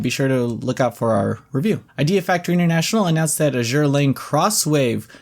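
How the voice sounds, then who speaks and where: A man's voice: medium pitch, creaky, darkish tone. A man hosting a casual gaming news rundown, chatting informally and dropping jokey asides between items. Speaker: host of a gaming news show.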